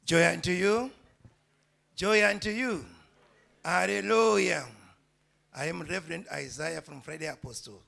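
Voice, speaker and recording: A man, a reverend, preaching to a gathering with emphatic, loud rising declarations. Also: high voice, measured pace, vocal fry, pauses often. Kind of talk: preaching address.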